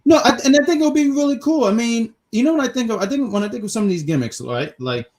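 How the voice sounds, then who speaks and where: A man's voice: extremely high pitch, expressive, fast talker, darkish tone. A man co-hosting a review show, chatting casually with a joking, teasing, rambling manner and repeating his phrases as he gives opinions. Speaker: co-host of a wrestling review show. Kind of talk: casual chat, opinionated commentary.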